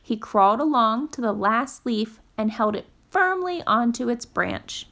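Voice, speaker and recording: Slow, high-voiced woman reading a storybook. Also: expressive, pushed and bright. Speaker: storytime reader. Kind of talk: expressive children's storybook narration.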